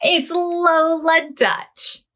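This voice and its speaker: A woman, a children's librarian, leading a preschool storytime, reading expressively and pausing to ask the children questions. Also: very high voice, steady tone, noisy background. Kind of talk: preschool storytime read-aloud.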